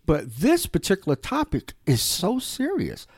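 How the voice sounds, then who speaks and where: Lively, higher-voiced man hosting. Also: measured pace, husky, no echo, clean studio sound. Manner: animated, affirming, reflective. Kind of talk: podcast conversation.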